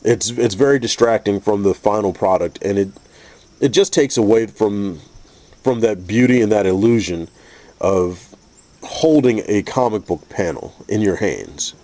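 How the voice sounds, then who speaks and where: A man with a stuffy, congested voice from a cold, calmly explaining a painting technique step by step with frequent restarts. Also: on the low side, unhurried. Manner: calm, methodical, with frequent restarts. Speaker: custom figure painter teaching. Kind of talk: painting tutorial narration.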